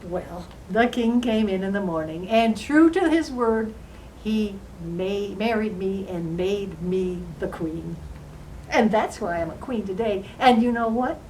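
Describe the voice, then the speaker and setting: A woman playing a young fairy-tale queen and mother, telling her own story in an earnest, dramatic, emotional way with drawn-out emphasis. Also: mid-range voice, a little mellow, lightly acted, poor audio. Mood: fond recounting. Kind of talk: dramatized first-person storytelling in character. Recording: faint background noise.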